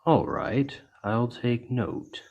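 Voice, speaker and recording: A man voicing a large, gentle orc healer in an ASMR roleplay, speaking in an earnest, measured and reassuring way. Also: mid-range voice, sing-song, creaky, exaggerated voice. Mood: kindly, calm. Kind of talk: ASMR roleplay, soft storytelling to an injured listener.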